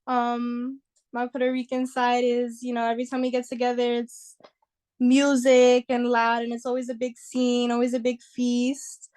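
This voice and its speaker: Relaxed young woman with a higher voice. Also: moderate pace. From New York. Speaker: guest singer. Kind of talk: casual interview chat.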